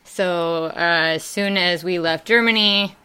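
Bright clear woman with a Wisconsin accent. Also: on the low side, slow pace. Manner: laughing, rambling with many fillers. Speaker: podcast guest. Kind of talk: casual chat.

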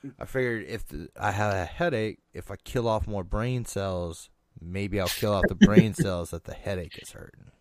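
A man's voice: lowish voice, normal speed, a crowd in the background. A man hosting a casual podcast chat, cracking crude jokes and swearing freely in loose banter. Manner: profane, jokey banter. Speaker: podcast host.

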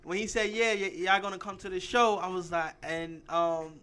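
A man's voice: sits high, steady tone, moderate pace, sharp tone, clear, resonant tone. A man with a New York accent hosting a casual internet radio show, loose and hesitant with many fillers. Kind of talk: casual podcast chat.

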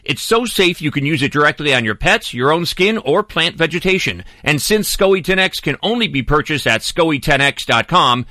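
A man's voice: fairly high pitch, expressive, moderate pace, hardly any pauses, pushed and bright, no room sound, studio quality. A man in his fifties hosting a pet call-in radio show, chatty and jokey, also reading his own ad. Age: 50s. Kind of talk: radio call-in talk and read ad.

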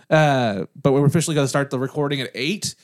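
A man's voice: medium pitch, moderate pace. A man guesting on a casual podcast, promoting his show and reeling off event details. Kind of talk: casual chat.

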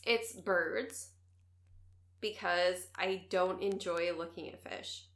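A woman's voice: on the low side, steady tone, slow, vocal fry, a bit bright, reverberant. A woman with a Wisconsin accent, tipsy and chatting loosely as a podcast co-host, rambling and swearing freely. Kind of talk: casual chat.

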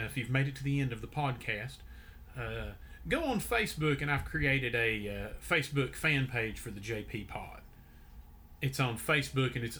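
Bright-voiced man hosting a podcast. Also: mid-range voice. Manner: relaxed, many fillers and restarts. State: voice deeper than usual. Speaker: podcast host. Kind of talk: casual storytelling chat.

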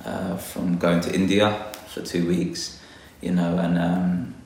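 A man's voice: low-pitched, little pitch movement, takes it slowly, gravelly, a little mellow, very echoey, slight background noise. A man from London, a guest botanist, talking reflectively in a casual interview, hesitant with many fillers. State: a little nervous.

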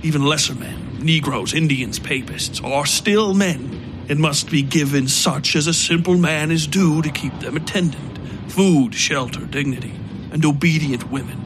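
A man voicing a bitter, drunken aristocrat who lectures and rants with a sneer, sometimes breaking into shouts. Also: on the high side, normal speed, lightly acted. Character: drunk, bitter, ranting male aristocrat theorist. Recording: music bed underneath.

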